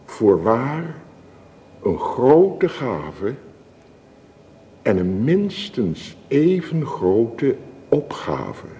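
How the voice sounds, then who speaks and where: A man playing a solemn village minister with formal, measured delivery in a Dutch radio drama. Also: medium pitch, sing-song, warm tone. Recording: reverberant church acoustic, faint hiss.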